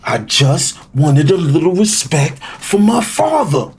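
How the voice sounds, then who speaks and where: A man playing a resentful, wry son who narrates a scripted audio drama in the first person, confiding in the listener with a slightly husky voice. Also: a little high, very animated, slow pace, exaggerated voice. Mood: heavy-hearted.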